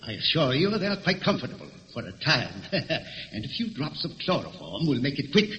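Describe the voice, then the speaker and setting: Cold, mock-polite gentleman killer for radio thriller; animated, commanding delivery on dull old recording. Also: mid-range voice, brisk, long reverb tail. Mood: gloating. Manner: menacing, mock-courteous, commanding. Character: cold, arrogant gentleman murderer holding captives at gunpoint.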